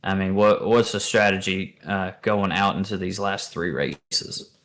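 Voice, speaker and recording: A man's voice: low voice, a little slow, fairly bright tone. A man co-commentating on a race from the booth, hesitant with many fillers.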